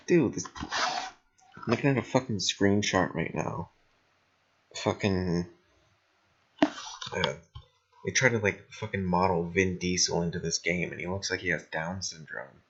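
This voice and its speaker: Deep, gravelly young man, casually swearing. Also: a little slow, long pauses, fairly bright tone, lo-fi sound. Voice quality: slightly raspy, gravelly. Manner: rambling, blunt. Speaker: podcast co-host. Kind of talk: casual chat, heavy swearing.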